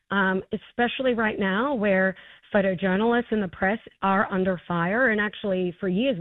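A middle-aged Australian woman talks as an interview guest in an effusive, conversational way. Her voice sits at an average pitch. The sound is dry and narrow-band, like a limited line. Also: no room sound. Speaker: interview guest, arts festival co-founder.

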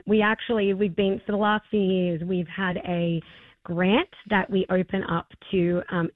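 A hoarse woman in her forties with an Australian accent, a festival co-founder answering interview questions enthusiastically, with many fillers and restarts. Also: medium pitch, no room sound. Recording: tinny phone line, dry.

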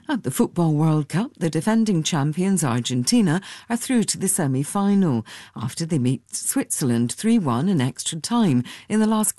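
A woman reading a radio news bulletin in a measured, formal way. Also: sits low, mellow, no room sound, clean studio sound. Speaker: newsreader. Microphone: studio microphone.

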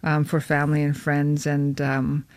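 A woman from northern Saskatchewan who grew up speaking Michif, talking about her film in a studio interview and pausing often with ums and uhs. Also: deep voice, monotone, darkish tone, dry recording. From northern Saskatchewan, Canada. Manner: hesitant, many ums and uhs. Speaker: filmmaker guest. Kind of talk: casual interview answers. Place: radio studio.

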